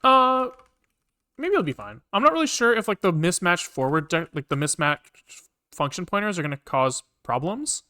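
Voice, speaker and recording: Man, higher-than-average pitch, smooth clean tone, casual coding streamer, muttering to himself, informal and sweary. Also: big pitch swings, moderate pace, pushed and bright. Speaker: programmer live-streaming a coding session. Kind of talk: casual thinking aloud while coding.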